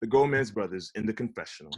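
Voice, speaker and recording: A man's voice: fairly low pitch, expressive, quick pace, husky. A man narrating the script at a casual table read and chatting with the group. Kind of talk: reading screen directions, then casual chat.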